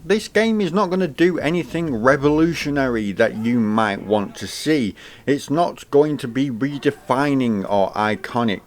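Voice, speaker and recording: A man narrating a scripted video game review. He opens each phrase high and lets it drop at the end. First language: English. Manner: lively delivery, pitch rising at the start of each phrase and dropping at the end.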